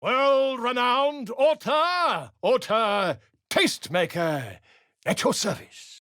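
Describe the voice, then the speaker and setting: A man's voice: very high voice, expressive, slow, fairly bright tone, dry recording, clean studio sound, heavily acted. An actor playing a flamboyant, self-important male bard and scribe in a video game, speaking in a theatrical, flowery, pompous way. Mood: grandiose.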